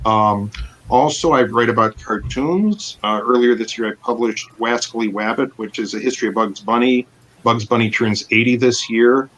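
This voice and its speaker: A man's voice: medium pitch, moderate pace, fairly bright tone, slight background noise, poor audio. A man introducing himself as an author in a matter-of-fact, informative panel chat. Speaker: author guest.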